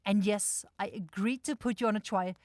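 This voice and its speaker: A woman playing an irritable, sharp-tongued demon shopkeeper in a scripted fantasy ASMR roleplay, blunt and exasperated. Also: mid-range voice, steady tone, normal speed, no echo, lightly acted. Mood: irritated, dismissive. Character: grumpy, sarcastic demon goat-eyed shopkeeper sister, sharp-tongued.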